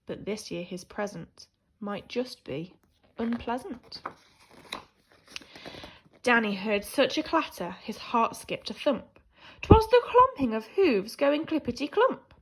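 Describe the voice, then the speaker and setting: A woman teacher reading a children's picture book aloud in an animated, theatrical way, swinging between hushed and emphatic delivery. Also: on the high side, takes it slowly, long pauses, a little hiss or hum, rough recording. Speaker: primary school teacher reading a story.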